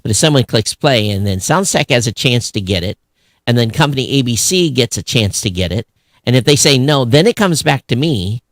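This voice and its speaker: A man's voice: mid-range voice, expressive, a little fast, no room sound. A man hosting a podcast in casual, emphatic discussion, often voicing both sides of an imagined exchange. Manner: emphatic, acts out imagined dialogue. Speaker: podcast host.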